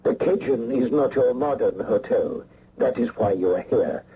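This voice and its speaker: An actor playing a menacing foreign spy in a radio mystery, with formal, deliberate, mockingly courteous speech. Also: male voice, medium pitch, natural voice. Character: cold, menacing male foreign spy with a gun. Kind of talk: old-time radio mystery acting. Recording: noisy, muffled old radio recording.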